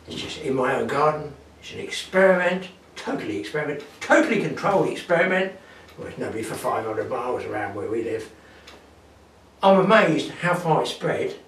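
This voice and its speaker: Older male vlog host, playful and chatty, slightly high voice, recorded off-mic in an echoey cabin. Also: distant mic, slight background noise. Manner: jokey and animated, chuckling asides. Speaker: fishing channel host. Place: garden cabin indoors, partly outdoors in the garden.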